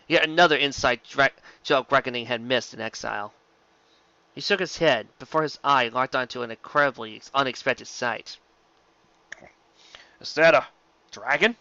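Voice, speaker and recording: A man's voice: medium pitch, normal speed, pushed and bright, smooth, no room sound, lo-fi sound. A man reading a scripted story as an amateur narrator, now and then stumbling over words and rereading them. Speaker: amateur audiobook reader.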